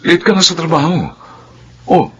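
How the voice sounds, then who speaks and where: An actor playing a steady, reassuring young husband and father in a Filipino radio drama. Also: a little high, normal speed, husky, heavily acted. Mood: impatient, understanding.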